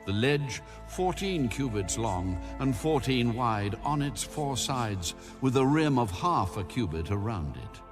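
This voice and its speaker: A man reading scripture as a scripted narration in a solemn, measured and deliberate manner. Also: medium pitch, very animated, music in the background. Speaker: audio Bible narrator.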